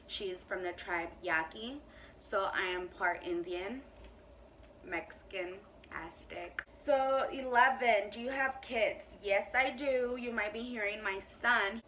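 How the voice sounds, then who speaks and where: Chatty bright-voiced young woman vlogger. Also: mid-range voice, slow pace, distant mic, slight background noise, poor audio. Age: 20s. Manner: chatty, emphatic, lots of "like" and "you know". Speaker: beauty vlogger. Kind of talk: casual Q&A chat to camera.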